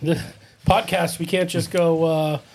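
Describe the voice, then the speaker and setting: This is a man hosting a loose podcast chat, with a gravelly, fry-edged voice that sits a little high for a man. He cracks jokes, teases, and laughs between lines. A faint hiss of background noise runs under his speech. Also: little pitch movement, measured pace, darkish tone. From Boston area. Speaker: podcast host. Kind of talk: casual podcast banter.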